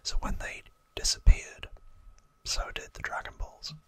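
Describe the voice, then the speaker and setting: Slow ASMR host in a bright whisper. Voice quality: breathy unvoiced whisper. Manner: slow, hushed, unscripted explaining. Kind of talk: whispered ASMR trivia recap.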